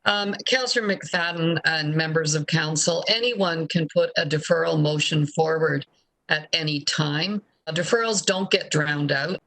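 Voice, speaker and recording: A woman's voice: deep voice, a bit bright, poor audio. A woman speaking off the cuff in formal remarks as a city council member.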